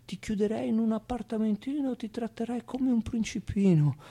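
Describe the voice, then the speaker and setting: A man voicing a flattering female streetwalker who coos and dotes in a drawn-out way, in a stage monologue. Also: very high voice, pitched above the performer's own voice. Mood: doting, seductive.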